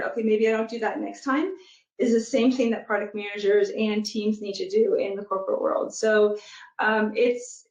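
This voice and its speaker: A woman guest on an interview who talks thoughtfully and steadily, often pausing with ums as she gathers her thoughts. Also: a little high, a little mellow. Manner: thoughtful, many fillers, measured. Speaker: guest, product manager and youth soccer coach. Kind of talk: casual interview conversation. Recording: muffled echoey remote recording.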